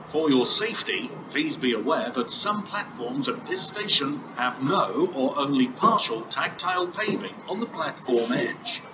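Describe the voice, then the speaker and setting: A man's voice: a little high, a little slow, background noise. Echoing man on station speakers. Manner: calm, formal, even delivery. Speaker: automated station announcer. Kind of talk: recorded safety announcements. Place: railway station platform. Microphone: station loudspeakers recorded from far off. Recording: very echoey platform speakers from far off. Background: station ambience.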